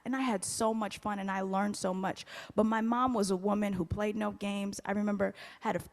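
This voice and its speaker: A woman gives a heartfelt personal testimony, her voice carrying through a roomy church hall with a long, lingering reverb. Her pitch sits in the middle range for a woman. She tells her family stories in an open, storytelling way, often breaking into laughter. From United States. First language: Haitian Creole. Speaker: guest speaker. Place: church sanctuary.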